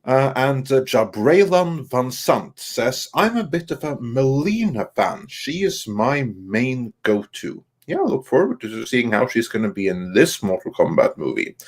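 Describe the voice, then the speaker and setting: A man co-hosting a live stream, reading viewer comments aloud in a halting way and responding casually. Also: mid-range voice, sing-song, husky. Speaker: co-host reading viewer messages.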